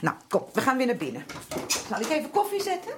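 A woman's voice: high-pitched, huge pitch swings, fast. An actress playing a flustered middle-aged working-class housewife and protective mother who rambles and hesitates in colloquial speech, in a naturalistic radio drama. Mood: chatty. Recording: faint noise and clicks, old radio drama.

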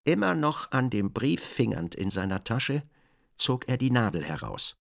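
A man narrating a literary radio drama from a script in a measured, deliberate manner. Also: medium pitch, sing-song, creaky.

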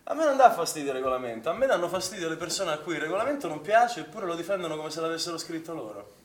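An actor playing a cocky, rebellious young swimming instructor, mocking and casual in a stage drama. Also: male voice, on the high side, lively intonation, rapid-fire, natural voice. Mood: provocative. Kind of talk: stage-style Italian drama acting. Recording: echoey, distant stage recording with clear noise.

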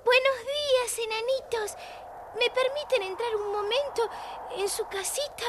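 A woman playing a gentle, polite poor young girl in a children's audio fairy tale. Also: very high-pitched, unhurried, background noise. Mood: sweet, polite. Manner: courteous, gentle. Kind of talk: scripted children's audio storybook acting.

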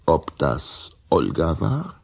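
A man's voice: rumbling low, huge pitch swings, slow pace, gravelly, breathy edge, exaggerated voice. A man narrating a children's radio play in a scripted storytelling style.

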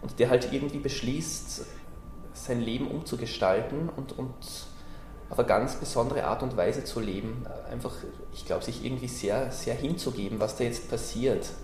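A man answers casually and tentatively, feeling his way through. His pitch is average. The place is echoey, with faint ambient noise behind him. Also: moderate pace, a little mellow. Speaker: interviewee. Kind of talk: casual, unsure interview answer. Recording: echoey, noisy ambient interview.

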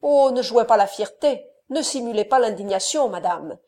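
A woman voicing a furious, jealous nobleman who hurls loud accusations in an audiobook reading. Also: a little high, animated, moderate pace, close to an everyday voice. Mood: scornful.

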